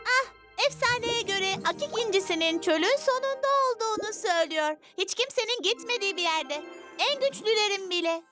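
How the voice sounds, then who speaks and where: Very high-voiced brave cartoon girl leader. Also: fairly quick. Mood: earnest, hopeful. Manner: earnest, rallying, expressive. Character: brave, decisive young fairy-world girl leader. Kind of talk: dubbed children's cartoon acting. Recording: loud music under the voice.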